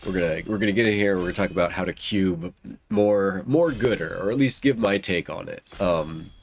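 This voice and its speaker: A man's voice: low-pitched, a little fast. A young man with a stuffed-up nose chatting casually while he plays, rambling and often restarting his sentences. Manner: rambling, self-correcting, lots of ums and likes. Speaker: gaming YouTuber. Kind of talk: casual commentary while drafting a card game.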